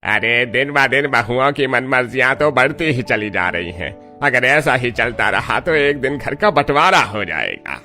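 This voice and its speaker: A man voicing an exasperated male elder of a household in a family story cartoon, animated in delivery. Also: mid-range voice, unhurried, few pauses, soft background music.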